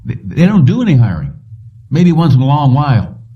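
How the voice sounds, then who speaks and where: A man's voice: mid-range voice, very animated, fairly quick, pitched above the performer's own voice, lightly acted. A man voicing a wary, curt small-town cab driver with clipped, colloquial speech in an audiobook. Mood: guarded. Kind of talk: audiobook character dialogue. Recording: dull lo-fi, distant from mic.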